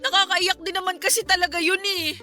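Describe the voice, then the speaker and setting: A woman's voice: extremely high pitch, little pitch movement, quick pace, bright, forceful tone, full character voice. A Filipino actress playing a sentimental young woman chatting with her friend in a radio drama. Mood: tearful, defensive. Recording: music bed behind the voice.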